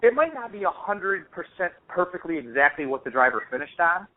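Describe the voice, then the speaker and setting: Fast-talking man on a dull phone recording. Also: high-pitched, big pitch swings. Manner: informal, slangy, rambling. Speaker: host of a hobby radio-controlled racing call show. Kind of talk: casual phone chat, anecdotal storytelling. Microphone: phone call. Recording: dull lo-fi phone-call audio.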